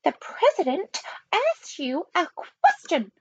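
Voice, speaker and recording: A woman's voice: extremely high pitch, wildly swooping pitch, slow pace, no room sound, dull audio, voice pushed up, exaggerated voice. A woman voicing a huge, stern, bossy nanny of eighty-nine with an icy, snarling manner in a storybook.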